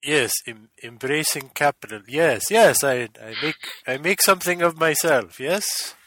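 Man doing Eastern European accent, taxi driver, broken halting English, bright forceful tone, dry close sound. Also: a little high, animated, no echo, full character voice. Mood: eager. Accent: put-on Eastern European. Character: chatty immigrant male taxi driver, evasive.

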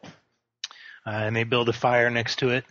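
A man running a tabletop game as game master, narrating with many pauses and fillers. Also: mid-range voice, little pitch movement, a bit bright, dry recording. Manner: halting, many ums and uhs. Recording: dry, dull lo-fi call audio.

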